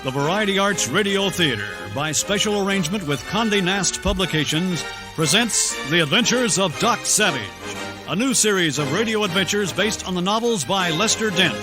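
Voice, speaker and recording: Male announcer, grand dramatic narration, pulp radio serial style, somewhat high voice, theatrical, clear music bed underneath. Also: lively intonation, normal speed.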